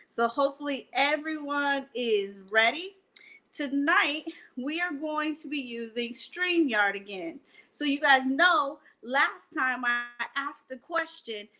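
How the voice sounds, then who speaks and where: High female voice, unhurried pace, storytime host, children's read-aloud, deliberate phrasing. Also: bright, forceful tone. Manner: slow, deliberate phrasing, addressing children directly. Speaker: library storytime host and reader. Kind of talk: bedtime picture-book read-aloud for children. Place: at home, indoors.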